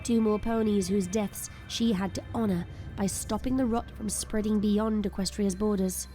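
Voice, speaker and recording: A woman's voice: mid-range voice, steady tone, moderate pace, dark tone, soft background music. A woman reading scripted third-person story narration as the narrator of an audio drama.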